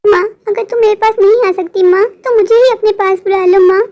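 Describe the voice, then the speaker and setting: A woman's voice: very high-pitched, fairly even intonation, a little fast, darkish tone. A woman voicing a lonely, innocent five-year-old girl in a Hindi moral cartoon, speaking in a plaintive childlike way. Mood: tearful pleading. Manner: plaintive, childlike, addresses parents over and over. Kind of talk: melodramatic Hindi cartoon storytelling.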